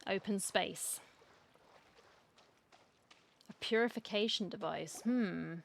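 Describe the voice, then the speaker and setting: A woman's voice: mid-range voice, slow pace, vocal fry, slight background noise. A woman gaming streamer giving casual, chatty commentary and reacting aloud as she plays.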